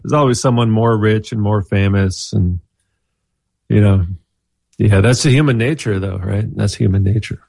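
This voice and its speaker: A man chatting casually as a guest, speaking haltingly with many fillers and restarts. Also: lowish voice, gravelly, a little mellow, dry recording, studio quality. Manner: halting, hesitant, lots of fillers. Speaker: guest musician and video maker. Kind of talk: casual chat. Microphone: headphones and home microphone.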